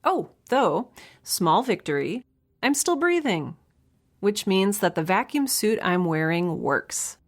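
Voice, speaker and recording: A woman's voice: mid-range voice, big pitch swings, slow pace, exaggerated voice. An actress playing a young researcher alone on a spaceship, chatting into her log in a wry, rambling, nervous and self-deprecating way. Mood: rueful, joking. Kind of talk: audio drama, spoken log in a casual chatty manner.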